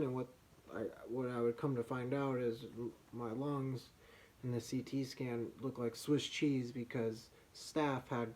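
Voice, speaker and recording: A man with a soft voice from slightly damaged vocal cords, telling a personal story as a vlogger in a halting way, with pauses and restarts. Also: medium pitch.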